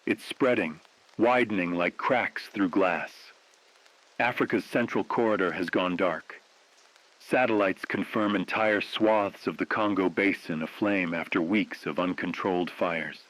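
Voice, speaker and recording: A man playing a grave, defiant radio host at the world's end, delivering scripted news in a solemn, poetic, measured way. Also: low-pitched, a bit bright, low-quality recording. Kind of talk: scripted dramatic apocalyptic news broadcast.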